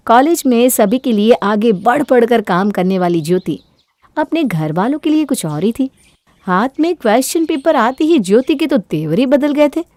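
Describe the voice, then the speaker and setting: Fairly high-voiced woman narrating a story. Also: lively intonation, moderate pace, darkish tone, no room sound.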